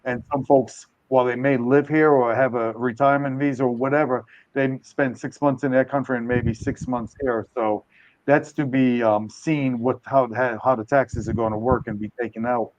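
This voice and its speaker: A man's voice: mid-range voice, steady tone, normal speed, no echo. Older male host, casual, rambling and hedging, dull dry recording. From United States. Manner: rambling, hedging, self-correcting. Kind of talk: casual live stream talk.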